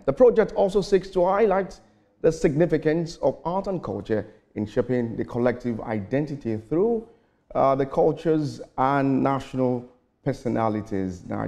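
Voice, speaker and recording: A man's voice: medium pitch, mellow. A man presenting a morning TV news program, reading an intro and then questioning a guest, stumbling now and then. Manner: reads a scripted intro, then asks questions with some stumbles. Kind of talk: news interview.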